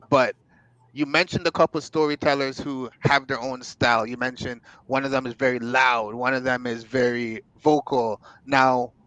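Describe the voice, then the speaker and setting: A man's voice: medium pitch, a bit bright, no echo, poor audio. A man hosting a business interview show, encouraging and affirming his guest. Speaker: host.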